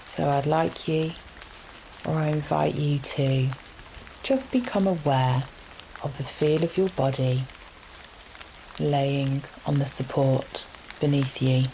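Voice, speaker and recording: A woman leading a guided relaxation meditation in a gentle, soothing manner, leaving long unhurried pauses between phrases. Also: low-pitched, a little hiss or hum, rough recording. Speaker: yoga nidra meditation guide. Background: steady rain sounds.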